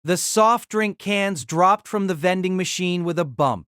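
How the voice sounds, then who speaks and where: A narrator telling a fan audio drama story in an expressive storytelling manner. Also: mid-range voice, unhurried, clean tone, dry recording, clean studio sound.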